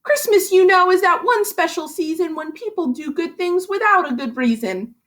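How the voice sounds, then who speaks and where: An anxious little elf inventor from a children's storybook, acted out by a woman reader. He pipes up high, eager to please. His pleas are earnest and insistent. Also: expressive, moderate pace, fairly bright tone. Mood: earnest pleading.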